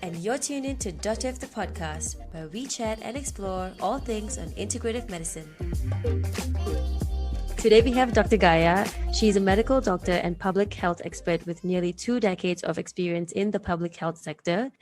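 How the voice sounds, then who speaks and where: A woman's voice: medium pitch, moderate pace, over music. A woman hosting a podcast, introducing her guest in a friendly, prepared way and asking questions. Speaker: podcast host. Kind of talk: podcast interview.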